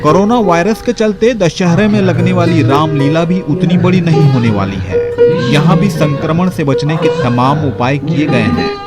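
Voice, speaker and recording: A man's voice: fairly high pitch, normal speed, over loud music. A man narrating a scripted news report as a voice-over.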